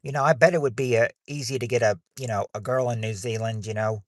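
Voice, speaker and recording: A man's voice: medium pitch, steady tone, fast talker, no echo, clean studio sound. A man hosting a livestreamed game night, chatting loosely and often restarting his sentences. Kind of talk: casual chat while hosting a game.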